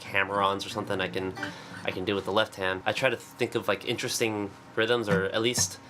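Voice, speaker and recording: A congested man with a cold, chatting casually and self-deprecatingly about songwriting with lots of fillers. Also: fairly low pitch. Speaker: singer-songwriter. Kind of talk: casual vlog talk. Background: acoustic guitar.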